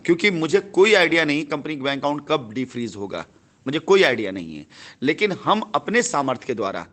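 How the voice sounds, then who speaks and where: A man's voice: a little high, a bit bright. A man speaking as a company head on a phone call, explaining himself insistently and repeating his phrases. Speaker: company head answering a customer. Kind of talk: phone conversation, persuasive explaining.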